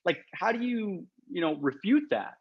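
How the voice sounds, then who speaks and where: Male interview host, husky and very lively in intonation, informal, recorded in dull lo-fi sound. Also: sits high, normal speed. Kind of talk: casual interview conversation. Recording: muffled, dull lo-fi audio.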